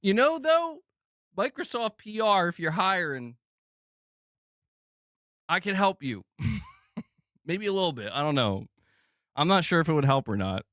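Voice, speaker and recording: Joking guy streaming gaming news, higher voice, swings all over, dry close sound. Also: unhurried, pauses often, dry recording. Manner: animated and joking, laughs often, trails off into asides. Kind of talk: casual gaming news commentary on a live stream.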